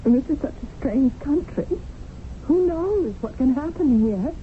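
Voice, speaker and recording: A thin, noisy old broadcast carries a fretful, lovelorn English lady. She is polite and halting, her fairly high voice rising and falling with feeling. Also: lively intonation. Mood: miserable, pleading. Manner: hesitant, emotional, polite. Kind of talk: 1970s radio drama acting. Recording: noisy narrow-band old radio broadcast.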